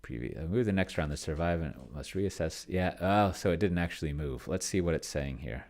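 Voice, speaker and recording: Man, extremely low voice, gravelly fry, casual thinking-aloud delivery, muttered trailing phrases, keyboard typing. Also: animated, a little mellow. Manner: muttering, trailing off, drawn-out words while typing. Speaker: solo tabletop roleplayer and video host. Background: computer keyboard typing.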